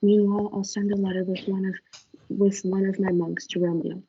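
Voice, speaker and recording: A young woman playing a calm, scheming friar who acts as confidant, in a stage-play reading. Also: medium pitch, brisk, breathy edge, muffled recording. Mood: secretive, instructing.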